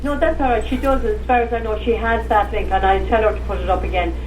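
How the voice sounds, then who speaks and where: A talkative Irish woman speaking in a relaxed, rambling way, a guest on a call-in show. Her voice comes through a distant, echoey phone line with a clear hiss behind it. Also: medium pitch, fast. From Ireland. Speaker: guest, tour organizer. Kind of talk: casual radio interview.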